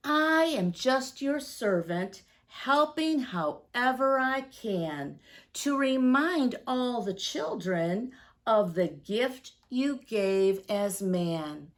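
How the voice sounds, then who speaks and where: Lady reading humble old santa praying in a storybook, super slow and reverent, voice rises and falls a lot. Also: fairly high pitch, animated. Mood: earnest, reverent.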